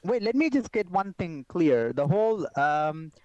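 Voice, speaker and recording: Laughing high-voiced man from India. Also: big pitch swings, measured pace, dull audio, a crowd in the background. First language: not English. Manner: laughs often, keeps saying "wait, wait" to cut in. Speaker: podcast co-host. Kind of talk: casual chat.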